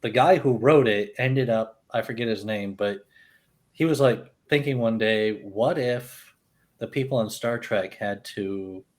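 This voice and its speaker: A man's voice: on the low side, a little slow. A man chatting casually as a podcast panelist, in a loose way with "like" dropped in often. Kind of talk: casual chat.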